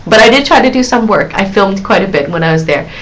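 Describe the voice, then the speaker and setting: A woman's voice: medium pitch, lively intonation, fast talker, slight background noise, rough recording. A woman in her thirties hosting her own live question-and-answer stream, chatting loosely with lots of giggles, fillers and restarts.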